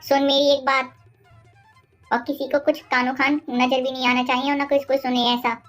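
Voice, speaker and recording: Woman playing a high-pitched scheming mother-in-law. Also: flat delivery, normal speed, fairly bright tone, faint music behind. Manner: bossy, scolding, name-calling. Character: scheming, scolding mother-in-law villain, middle-aged. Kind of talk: animated moral-story dialogue.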